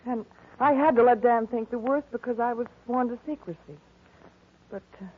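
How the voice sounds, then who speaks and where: A woman's voice: on the high side, moderate pace, natural voice. An actress with an American accent playing a distressed young woman, earnest and pleading, in 1940s radio drama style. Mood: earnest, explaining. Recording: muffled, tinny old radio recording.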